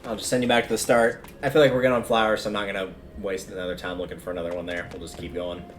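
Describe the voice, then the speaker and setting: A man's voice: sits low, little pitch movement, very fast, noisy background. A man livestreaming a video game, giving casual, rambling commentary as he thinks aloud.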